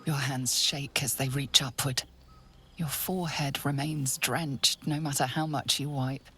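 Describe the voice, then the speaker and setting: A woman narrating a video game in the second person, calm and measured. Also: a bass voice, soft background music, full character voice.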